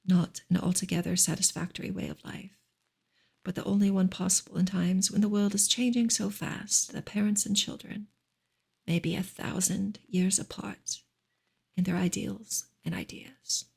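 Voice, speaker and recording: A woman speaking softly and gently in a breathy, whispery ASMR style, as a streamer reading and chatting to listeners. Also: medium pitch, fairly even intonation, normal speed. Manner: gentle, soft-spoken. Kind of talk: soft-spoken ASMR book reading and chat.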